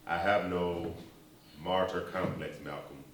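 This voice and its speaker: An actor playing a solemn, patient preacher and movement leader on stage, with measured, earnest, dignified phrasing. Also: male voice, low voice, fairly even intonation. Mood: solemn resolve. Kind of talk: theatrical stage acting, measured oratory. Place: stage performance, recorded from far off. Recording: echoey distant stage recording, noisy.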